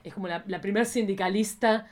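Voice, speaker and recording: A woman's voice: medium pitch, fairly quick, a little mellow. A woman with a Rioplatense Argentine accent and a mid-range voice co-hosts a casual chat. She is playful and often chips in with quips. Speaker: podcast co-host.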